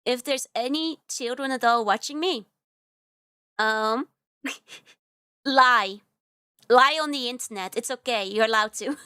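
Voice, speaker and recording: A woman's voice: on the high side, measured pace, pauses often, pushed and bright, smooth, dry recording, clean studio sound. A young woman with a Dutch accent chatting casually on a livestream, giggly and rambling with lots of fillers. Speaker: VTuber streamer.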